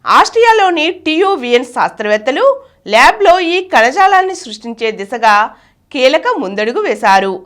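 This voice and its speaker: A woman's voice: high-pitched, fairly bright tone. A woman presenter reading a scripted educational explainer on health science.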